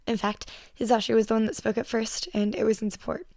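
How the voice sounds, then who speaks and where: A woman's voice: fairly high pitch, little pitch movement, quick pace, warm tone, no echo, clean studio sound. A young woman reading story narration for an audio fan fiction while sick. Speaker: podfic narrator.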